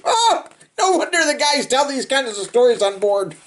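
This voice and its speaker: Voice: high-pitched, very animated, moderate pace. A storybook reader reading aloud to children in a theatrical, playful way with chatty asides.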